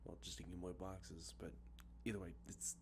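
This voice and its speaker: Deep-voiced congested man rambling softly. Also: little pitch movement, moderate pace, vocal fry, slight background noise. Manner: rambling, many ums and uhs, self-correcting. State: congested from allergies, sniffly. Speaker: ASMR channel host. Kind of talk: soft-spoken show-and-tell about collected video games. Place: outdoors with traffic nearby.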